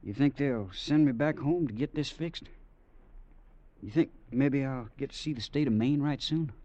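Actor as a mellow-voiced wounded, homesick soldier. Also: male voice, mid-range voice, normal speed, no echo, close to an everyday voice. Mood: weary, hopeful. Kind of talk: 1940s radio drama acting. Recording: dull, dry vintage radio recording.

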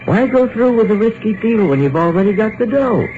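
An actor playing a plain-talking hired bodyguard in a 1950s radio drama, speaking in a matter-of-fact, slangy way. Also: male voice, sits high, expressive, fairly quick, soft background music. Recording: dull, faintly hissy old radio broadcast.

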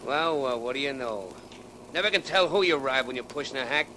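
A man's voice: medium pitch, lively intonation, a little fast, close to an everyday voice. A man playing a chatty, nosy city cab driver in 1940s radio drama style, with slangy, familiar talk. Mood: chatty, curious. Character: folksy, talkative, nosy male New York cab driver. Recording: noisy vintage 1940s radio recording, street sounds.

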